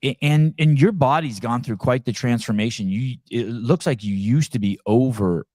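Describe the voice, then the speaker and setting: A man's voice: medium pitch, normal speed, mellow, dry recording, studio quality. A man from California hosting a casual podcast interview, joking and rambling, often stumbling and restarting his sentences. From Santa Cruz, California. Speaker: podcast host.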